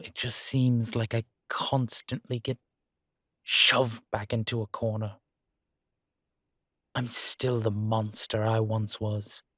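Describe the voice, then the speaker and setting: A man playing a guilt-ridden, centuries-old vampire in an audio drama, speaking gravely and formally with weary, deliberate pauses. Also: medium pitch, a bit bright, dry recording, studio quality, exaggerated voice. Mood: weary, guilty. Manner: slow, grave, deliberate phrasing with long pauses. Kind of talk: dramatic audio roleplay acting.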